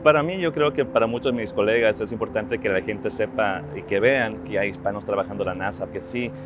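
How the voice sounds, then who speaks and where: Ecuador-born man in his thirties, low-pitched and sincere, restarts his phrases, clear music bed underneath. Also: fairly quick. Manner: earnest, with frequent restarts. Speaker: social media strategist. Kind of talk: reflective personal interview.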